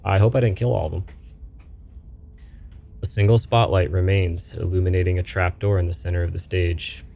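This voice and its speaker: A man's voice: sits low, little pitch movement, moderate pace, vocal fry, warm tone. A man playing a video game on stream, reading the story text aloud and adding casual asides.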